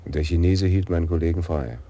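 A man's voice: low voice, fast talker, warm tone, slight background noise. An actor playing a terse, questioning male police sergeant in a radio crime play. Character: probing male police sergeant, calm investigator.

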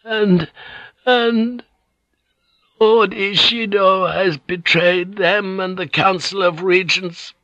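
A man voicing a frail, hoarse old noblewoman who is dying, proud and solemn, in an audiobook story. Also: high-pitched, fairly even intonation, pushed and bright, no echo, pitched above the performer's own voice, full character voice, rough recording. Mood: solemn, accusing. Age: elderly. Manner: solemn, halting, formal declarations.

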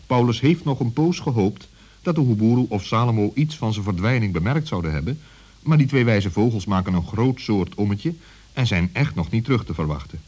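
Low, husky male storyteller. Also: expressive, fairly quick, darkish tone. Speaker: narrator. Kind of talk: scripted children's story narration.

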